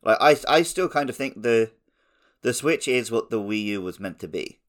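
A man's voice: medium pitch, animated, moderate pace, a bit bright. A man chatting casually as a podcast co-host. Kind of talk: casual chat.

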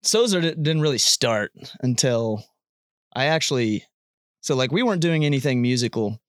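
A man in his late twenties, a band member chatting casually in a recording studio, rambling and quick to laugh. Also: medium pitch, animated, no room sound. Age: 20s. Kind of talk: casual band interview chat. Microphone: studio mic.

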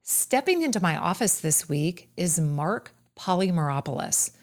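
Brief: low-pitched female host, deliberate and emphatic, introduces guests and asks questions. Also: very animated. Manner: measured, emphatic delivery with deliberate pauses. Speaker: podcast host. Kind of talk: scripted intro then interview questions.

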